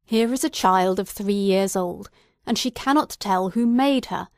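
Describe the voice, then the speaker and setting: A woman's voice: mid-range voice, a little slow, a little mellow, no room sound, clean studio sound, natural voice. A woman reading the part of a stern old male Puritan governor who speaks in a judging tone.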